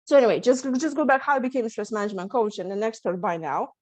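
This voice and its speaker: A woman's voice: on the high side, expressive, quick pace, no echo. A woman from Lithuania with a Lithuanian accent, a stress coach telling her story in an earnest interview. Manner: earnest, many restarts and small laughs. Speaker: guest, stress management coach. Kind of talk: candid interview talk. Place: home setup, video call. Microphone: video call.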